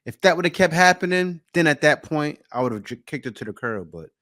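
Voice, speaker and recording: A man hosting a reaction channel, talking straight to his viewers in a relaxed, casual way. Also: on the high side, fairly quick, no echo, clean studio sound. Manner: relaxed, direct talk to viewers.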